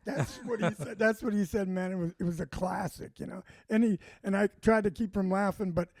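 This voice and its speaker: A man's voice: sits high, fairly quick, vocal fry, no echo, studio quality. A man telling comedy stories in casual chat, acting out what people said. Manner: animated, acts out quotes. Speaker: comedian guest. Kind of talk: casual storytelling.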